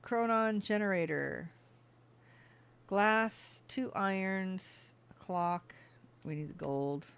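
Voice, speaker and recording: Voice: medium pitch, slow pace, vocal fry, fairly bright tone, clean tone. A gaming channel host giving casual let's-play commentary, chatting to themselves and thinking aloud as they play.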